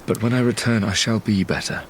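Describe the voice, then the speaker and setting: An actor playing a tormented, guilt-ridden young British gentleman scientist in an audio drama, speaking gravely and with literary care. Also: on the low side, fairly even intonation, a little fast, slight background noise, lightly acted. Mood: resolute. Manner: grave, brooding, literary delivery. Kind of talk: audio drama narration and dialogue.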